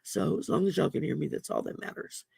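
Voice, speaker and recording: A woman with a gravelly voice from damaged vocal cords. She hosts a casual livestream, chatting and reading oracle cards in a folksy way with many ums. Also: low voice, fast, darkish tone, dry recording, studio quality. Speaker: host and oracle card reader. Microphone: computer mic on a livestream.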